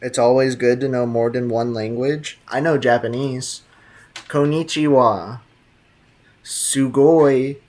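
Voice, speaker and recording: A man's voice: medium pitch, steady tone, slow, a little mellow. A young man streaming a video game, chatting casually with viewers in a laid-back, slangy way and laughing often. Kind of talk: casual chat reading live chat.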